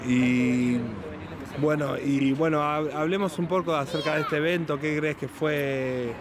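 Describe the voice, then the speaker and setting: A man's voice: fairly high pitch, fairly even intonation, measured pace. A man with a Rioplatense Argentine accent asking questions in a casual, conversational interview. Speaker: radio interviewer. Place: busy brewery bar hosting an event. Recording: crowded venue with urban background noise. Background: crowd and street noise.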